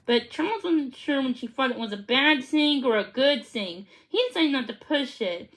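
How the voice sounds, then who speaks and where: A woman reading a children's storybook aloud in a halting way, stumbling and re-reading words. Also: sits high, animated, a bit bright, poor audio. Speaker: reader of a children's book.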